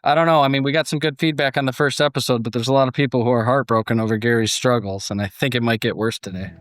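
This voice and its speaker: A man's voice: medium pitch, steady tone, quick pace, no room sound, studio quality. A man with an American accent hosting a podcast in a chatty, jokey, casual way. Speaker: podcast host.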